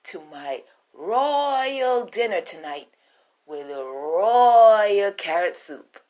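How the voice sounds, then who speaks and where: A woman voicing an impatient, demanding queen in a storybook tale, speaking in a haughty, drawn-out way. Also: medium pitch, animated, a little mellow.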